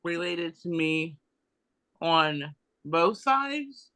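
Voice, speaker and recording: High, bright-voiced man, slow and halting. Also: lively intonation. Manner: halting, thinking aloud. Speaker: participant in a genealogy group discussion. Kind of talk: casual chat.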